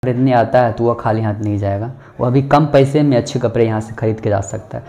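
A man's voice: mid-range voice, steady tone, brisk, dark tone, slight background noise. A young man, giving a chatty, promotional walk-through of a shopping mall and asking staff about prices. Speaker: vlogger touring a mall. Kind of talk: casual walk-through vlog. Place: busy indoor shopping mall.

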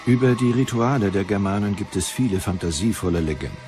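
A man narrating a documentary from a script in a measured, deliberate way. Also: lowish voice, expressive. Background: music.

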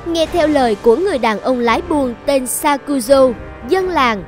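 Higher-voiced woman narrator over music. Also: expressive, moderate pace. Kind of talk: scripted folktale narration.

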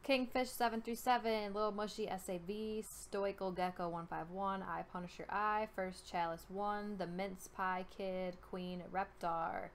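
A woman's voice: mid-range voice, steady tone, measured pace, hardly any pauses, slight background noise. A young woman with a New York accent, chatting casually on a live stream and reading out names in an informal way. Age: young adult. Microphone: headset.

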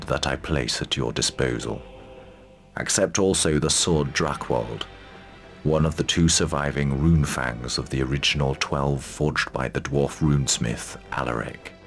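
A grave older nobleman, with an extremely low, creaky voice. He speaks formally and respectfully, as a stately lord in a fantasy game, with faint music behind. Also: a bit bright, full character voice. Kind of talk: fantasy video game cutscene acting.

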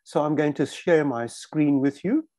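Slow, mellow older South African man. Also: on the high side, no echo. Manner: slow, careful, emphatic repetition. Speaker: presenter. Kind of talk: webinar presentation.

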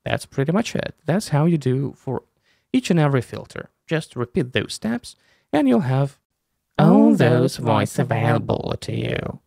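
Low-voiced man with lively, gravelly delivery. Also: measured pace, no room sound, studio quality. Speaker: tutorial host. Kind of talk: step-by-step software tutorial. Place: small room indoors. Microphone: close desk mic with headset.

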